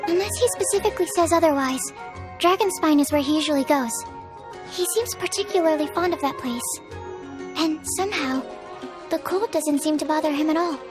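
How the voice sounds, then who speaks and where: A woman's voice: high voice, brisk, over music, natural voice. A woman voicing a timid, earnest young female alchemist in an anime-style game, hesitant and apologetic. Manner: hesitant, softly explanatory, apologetic.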